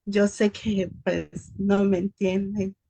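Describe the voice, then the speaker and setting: A woman from El Salvador with a Salvadoran accent, speaking earnestly and haltingly on a video call. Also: medium pitch, flat delivery, creaky, mellow, slightly rough, no echo. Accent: Salvadoran Spanish. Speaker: support group organizer. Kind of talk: casual question.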